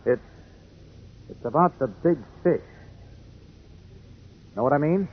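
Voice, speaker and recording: An actor playing a brisk, confident newspaper reporter who questions and commands, in 1940s radio style. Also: male voice, on the high side, animated, husky, exaggerated voice. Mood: insistent, casual. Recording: noisy, muffled old radio recording.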